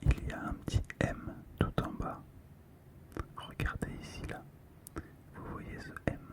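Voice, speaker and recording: Slow whispered ASMR voice. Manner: whispers throughout, slow and hushed. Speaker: ASMR video host. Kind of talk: whispered ASMR card commentary.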